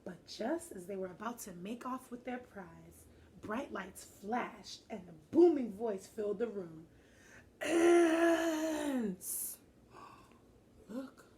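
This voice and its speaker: A woman teacher doing an animated storybook read-aloud, encouraging and pausing with questions for young listeners. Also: medium pitch, a little hiss or hum. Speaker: teacher reading a picture book aloud to children.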